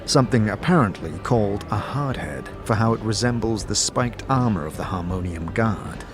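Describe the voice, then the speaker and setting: A man narrating a fantasy tale in the second person as game master, in an expressive storytelling manner. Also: lowish voice, normal speed. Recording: music under the voice.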